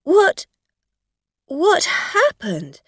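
A woman's voice: medium pitch, sing-song, drawn-out words, vocal fry, darkish tone, no echo, studio quality, full character voice. A woman voicing a tipsy, frightened woman driver in an audio story, hesitant, stammering and pleading. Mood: shocked. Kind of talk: acted dialogue in an audio story.

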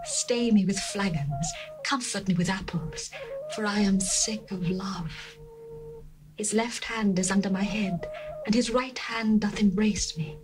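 A woman voicing a lovesick young bride longing for her beloved, in a dramatized scripture reading, tender and lyrical with hushed passages. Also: medium pitch, unhurried, lightly acted. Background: faint music.

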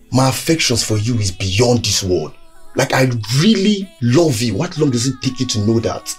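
An actor playing a hurt, defensive young boyfriend who pleads and argues. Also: male voice, close to an everyday voice. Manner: pleading, exasperated, lively rises in pitch.

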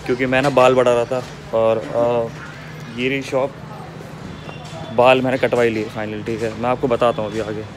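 A man's voice: mid-range voice, fairly bright tone. A man chatting casually to his vlog viewers. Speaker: vlogger. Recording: noisy handheld salon and street vlog.

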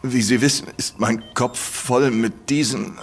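An actor playing an infected man who fears going mad, pleading in halting, stumbling bursts in a radio play. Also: vocal fry, a little hiss or hum. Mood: desperate urgent. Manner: halting, stumbling pleas, pitch jumping when agitated. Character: infected man fearing madness, urgent and desperate.

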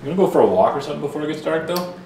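Middle-aged dad, calm and short-spoken, far from the cam, echoey, music under it. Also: male voice, on the high side, racing, creaky, a little hiss or hum. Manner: calm, brief, matter-of-fact. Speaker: father. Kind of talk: casual family chat. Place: outdoors in a snowy forest and in a cabin kitchen. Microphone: camera from a distance. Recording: echoey, distant camera, music behind.

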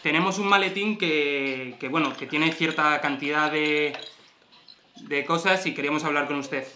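Higher-voiced young Spanish man, noisy room. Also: moderate pace, pushed and bright. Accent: Spain. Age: young adult. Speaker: prank caller and sidekick. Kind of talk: casual, improvised talk. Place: small home office, indoors. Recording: echoey room, clearly noisy.

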